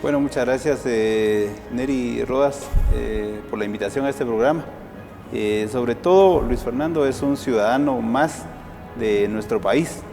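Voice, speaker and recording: A man's voice: mid-range voice, unhurried, gravelly, dark tone. Interview guest, Guatemalan man, hesitant with many fillers, long reverb, faint music. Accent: Guatemalan Spanish. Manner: hesitant, frequent "eh" fillers and restarts. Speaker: guest, civil society activist. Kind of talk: interview answers.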